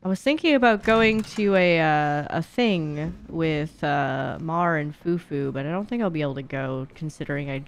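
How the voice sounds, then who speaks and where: A woman's voice: low voice, moderate pace, hardly any pauses. A young woman giving casual live gaming commentary in a playful, slangy, chatty way. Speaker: streamer. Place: indoor streaming room. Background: horror game sounds.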